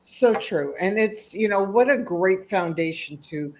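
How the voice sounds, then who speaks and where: A woman's voice: mid-range voice, lively intonation, a little slow, slight background noise, low-quality recording. Effusive woman hosting a live chat. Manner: warm, effusive, rambling with fillers. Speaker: host. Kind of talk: casual live-stream interview.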